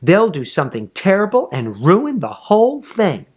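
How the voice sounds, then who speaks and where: A man's voice: sits high, wildly swooping pitch, normal speed, a little mellow, slightly rough, voice pushed up, exaggerated voice. A man voicing a sulky, self-important, indignant schoolgirl in a storybook, with petulant delivery.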